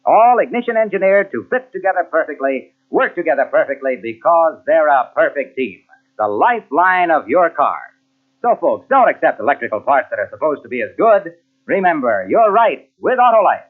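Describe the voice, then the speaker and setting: Muffled old radio, American male announcer, high voice, lively swings, emphatic salesman delivery. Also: moderate pace. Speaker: sponsor announcer. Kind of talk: vintage radio announcing and ad reading. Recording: muffled narrowband 1940s-50s radio, cassette transfer.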